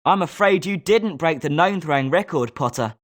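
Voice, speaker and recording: A woman's voice: low-pitched, expressive, normal speed. A woman with a British accent voicing a confident, sporting schoolboy wizard with a competitive streak in a video game. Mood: consoling. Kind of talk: scripted video game character lines.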